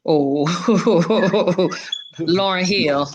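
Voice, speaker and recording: A woman's voice: on the low side, drawn-out words, darkish tone, a little hiss or hum. A woman hosting a casual, chatty interview show, often repeating and affirming what others say. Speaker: talk show host.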